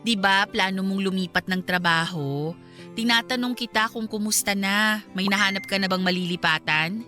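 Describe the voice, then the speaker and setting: A woman's voice: medium pitch, moderate pace, pushed and bright, soft background music, close to an everyday voice. A woman with a Filipino accent playing a hurt, suspicious young wife in a radio drama. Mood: concerned, probing. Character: hurt, suspicious young wife confronting her cheating husband.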